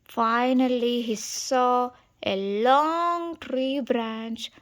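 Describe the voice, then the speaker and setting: Female storybook reader for kids: unhurried, slightly high voice, expressive read-along delivery.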